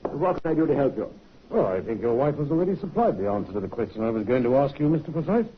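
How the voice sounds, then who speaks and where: A man's voice: medium pitch, very animated, fast talker, noisy background, close to an everyday voice. An actor playing a polite, methodical male police officer in an old radio drama, courteous and deferential. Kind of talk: 1950s radio acting. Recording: muffled vintage radio recording.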